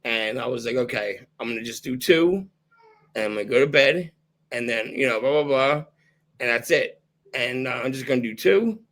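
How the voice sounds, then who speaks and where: Man, podcast host, hoarse, losing his voice, mid-pitched, loose candid chat. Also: lively intonation, normal speed, a bit bright. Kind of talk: casual podcast conversation.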